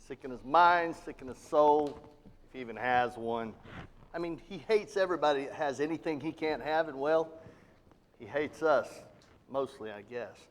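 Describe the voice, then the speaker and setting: A man's voice: a little high, normal speed, slight background noise, close to an everyday voice. A middle-aged man playing a kindly, worn-out father in a live stage radio play, speaking in an earnest, fatherly way. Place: theater stage, live audience. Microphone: standing stage mic. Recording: long hall reverb of a theater.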